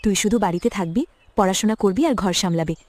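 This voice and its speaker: A woman's voice: mid-range voice, fast talker, a little mellow, close to an everyday voice. A woman voicing an earnest, protective young elder sister in an emotional cartoon story. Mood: resolute, soothing. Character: devoted, protective orphaned elder sister, young village girl. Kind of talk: Bengali cartoon moral-story voice acting.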